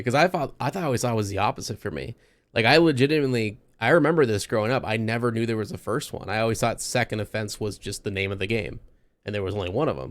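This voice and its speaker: A man's voice: lowish voice, dry recording. A man hosting a casual podcast chat, talking enthusiastically and rambling a little with restarts. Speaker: podcast host.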